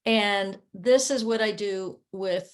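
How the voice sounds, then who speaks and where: Woman, guest coach, mid pitch. Earnest, reflective explainer in a conversational online interview. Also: slow pace. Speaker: guest, coach working with clients. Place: remote video call.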